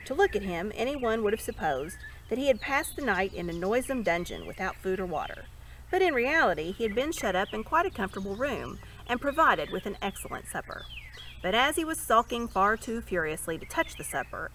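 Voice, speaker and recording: A woman reading a story aloud in an expressive narrating style. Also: medium pitch, slight background noise. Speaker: storybook reader.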